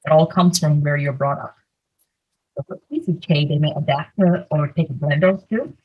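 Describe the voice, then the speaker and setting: Young woman from india, slightly breathy, talks through slides on a video call, lots of ums and likes. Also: deep voice, moderate pace, highs cut off. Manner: conversational, many fillers. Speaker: presenter. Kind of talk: slide presentation talk. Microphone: computer mic, video call.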